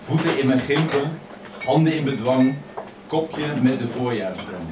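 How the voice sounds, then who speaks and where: A man reading his poems aloud in a measured, expressive way at a microphone in a crowded old café. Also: medium pitch, recorded from a distance, background noise. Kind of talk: poetry reading. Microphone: stand mic, filmed from across the room. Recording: echoey café filmed from afar, loud chatter.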